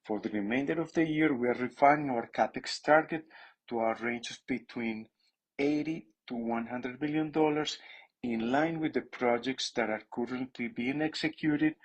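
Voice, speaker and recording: A man's voice: mid-range voice, moderate pace. A man presenting company finances from a script on an earnings call, in a formal corporate manner.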